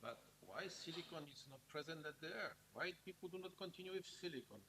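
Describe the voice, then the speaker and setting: A man's voice: fairly high pitch, lively intonation, brisk, vocal fry, pushed and bright, slight background noise, low-quality recording. A man chairing a conference session, asking blunt, probing questions. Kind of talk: conference Q&A moderation.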